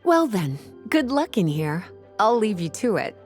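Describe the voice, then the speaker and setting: A woman's voice: mid-range voice, sing-song, measured pace, soft background music. A woman playing a courteous, composed and kindly woman in a video game, speaking politely and genteelly. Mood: gracious.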